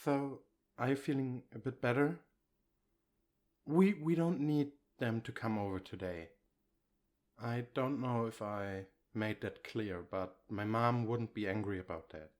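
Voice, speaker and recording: Man acting as slow-talking, affectionate, reassuring boyfriend. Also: mid-range voice, lightly acted. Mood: reassuring, gentle. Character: affectionate, reassuring boyfriend, shyly bragging about his partner. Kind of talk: ASMR comfort roleplay, intimate one-sided dialogue.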